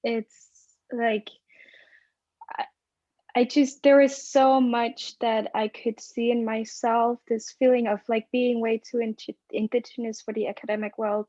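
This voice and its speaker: Slow, earnest young Greenlandic woman. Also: a little high, darkish tone, dry recording, lo-fi sound. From South Greenland. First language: Kalaallisut. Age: young adult. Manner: earnest, hesitant, many fillers. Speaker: youth panelist. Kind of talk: online panel discussion. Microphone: video call.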